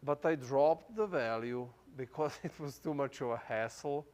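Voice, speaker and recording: A man's voice: mid-range voice, normal speed, a little mellow. A man in his thirties with a non-native English accent, preaching a casual, chatty sermon as pastor, full of fillers and restarts. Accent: foreign accent, non-native English. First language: not English. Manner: chatty, many fillers and restarts, laughs easily.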